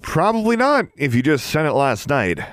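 A man's voice: on the high side, very animated, normal speed, dry recording, studio quality, pitched above the performer's own voice. A man voicing an awkward teenage boy who gives curt, evasive answers.

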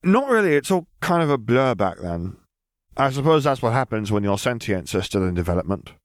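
A man's voice: medium pitch, sing-song, brisk, dry recording, clean studio sound, voice pushed up, lightly acted. A man voicing a precocious eleven-year-old girl who talks in a detached, formal way. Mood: matter-of-fact.

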